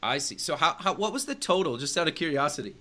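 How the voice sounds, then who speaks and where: A man's voice: fairly high pitch, very animated, fast, sharp tone. A man hosting a radio and TV interview show, reading a scripted intro and then asking questions in an enthusiastic, hedging way. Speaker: host.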